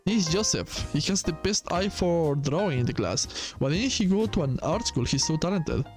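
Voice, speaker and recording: A man's voice: a little high, animated, normal speed, faint music behind. A man doing game playthrough commentary, reading dialogue aloud with casual asides. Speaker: gaming video host.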